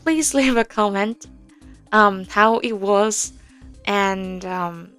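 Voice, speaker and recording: Young woman, mid-pitched, slow and halting, casual game tutorial host with nervous little laughs. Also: clear, resonant tone, faint music behind. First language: Korean. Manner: hesitant, many fillers, soft nervous laughs. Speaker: audio game tutorial presenter.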